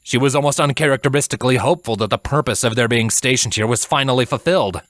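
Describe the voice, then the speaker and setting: A man reading a fantasy story as a narrator, in a measured, dramatic way. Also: mid-range voice, a bit bright, no room sound, studio quality. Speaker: fan fiction narrator.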